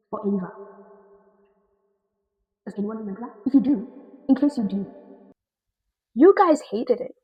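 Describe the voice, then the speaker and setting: A woman giving a casual, chatty video review in an expressive, slangy way with emphatic repetition. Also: mid-range voice, husky, no room sound, muffled recording.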